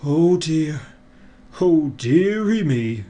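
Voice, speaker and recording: A man's voice: fairly high pitch, animated, extremely slow, mellow, pitched above the performer's own voice. A man voicing a kindly old Father Christmas from a children's storybook, fretful but hearty. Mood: worried.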